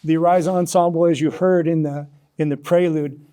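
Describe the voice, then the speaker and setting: A man gives conversational interview answers in a very mellow voice pitched a little above average. He explains things haltingly, often repeating a word before moving on. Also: fairly even intonation. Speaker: interview guest, author. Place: broadcast interview studio.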